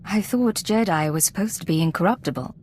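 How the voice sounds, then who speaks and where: An actress playing a commanding, composed secret agent in a video game, with controlled, clipped delivery. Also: female voice, fairly low pitch, expressive, a little fast, darkish tone, a little hiss or hum, lightly acted. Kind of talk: dramatic game dialogue.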